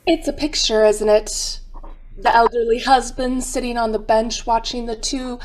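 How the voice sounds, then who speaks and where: A woman's voice: fairly high pitch, fairly even intonation, moderate pace, darkish tone, slight background noise, rough recording. A woman giving dramatic scripted narration for a mystery radio play, wry and suspenseful.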